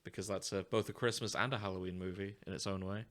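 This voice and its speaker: A man's voice: sits low, fairly even intonation, fast, fairly bright tone. A man co-hosting a podcast in casual, tongue-in-cheek chat, recorded in a living room on a podcast microphone. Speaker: podcast co-host.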